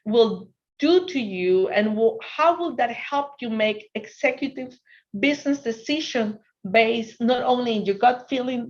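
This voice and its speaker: Woman, Spanish accent, native Spanish speaker, finance workshop presenter, slightly high voice, dull video-call audio. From Ecuador. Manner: earnest, restarts sentences, asks if the audience can hear.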